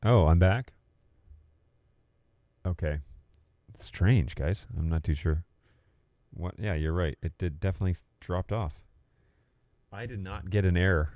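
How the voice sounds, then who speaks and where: A man's voice: low voice, measured pace, pauses often, warm tone, dry recording. A man hosting a casual livestream, rambling and thinking aloud as he talks through tech. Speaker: livestream host. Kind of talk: casual livestream chat about video production gear.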